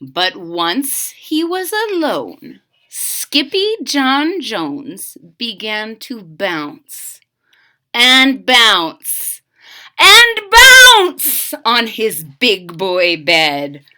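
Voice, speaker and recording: A woman reads a picture book aloud for children in a bright, fairly high voice with lively swings of pitch. She addresses her young listeners directly, mixing narration with asides and questions. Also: drawn-out words. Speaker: storytime reader. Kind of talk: interactive children's read-aloud.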